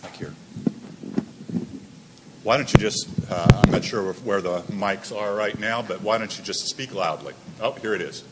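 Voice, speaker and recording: A man moderating a conference panel, measured with a touch of dry humor. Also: lowish voice. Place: conference hall. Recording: echoey hall with background noise.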